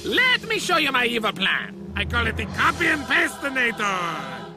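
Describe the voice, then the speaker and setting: A man's voice: extremely high pitch, wildly swooping pitch, normal speed, heavily acted. A man voicing a bumbling, theatrical cartoon mad scientist with a grandiose manner. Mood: scheming, proud. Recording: music behind cartoon clips.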